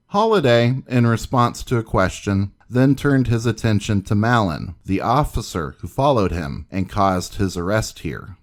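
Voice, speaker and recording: Man, lowish pitch, formal, measured, scripted narrator, reporter in a dramatic newspaper reading.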